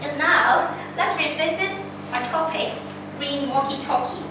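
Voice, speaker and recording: A woman's voice: high-pitched, a little slow. A young woman giving an earnest, carefully structured prepared speech to a club. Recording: echoey room, distant mic, noisy background.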